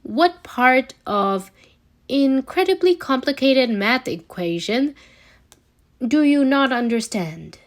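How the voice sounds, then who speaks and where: A woman's voice: high-pitched, expressive, slow. A woman voicing an encouraging, breezy male genius inventor in an audiobook, with a coaxing, informal manner. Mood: reassuring, insistent. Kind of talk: audiobook character dialogue.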